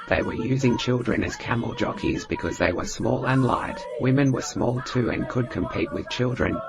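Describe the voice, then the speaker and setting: Man voicing a raspy, gravelly Australian woman, animated. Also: mid-range voice. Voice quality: raspy, smoky. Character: Kathy, chatty earthy Australian woman ex-camel jockey. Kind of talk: scripted comedy dialogue.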